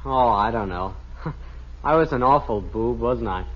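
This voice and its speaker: A teenage American boy, casual and a bit sheepish, in an old family radio comedy. His voice is mid-pitched on a dull vintage recording. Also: animated, a little slow, slightly rough. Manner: boyish, casual. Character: eager, sheepish teenage son. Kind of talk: 1950s radio comedy acting. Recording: muffled vintage radio broadcast.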